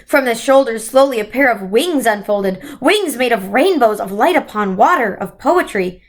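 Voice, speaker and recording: Lady reading a storybook to kids, highish voice, trips on a word here n there. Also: animated, normal speed. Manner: stumbles over words and corrects herself. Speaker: story reader. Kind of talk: children's book read-aloud.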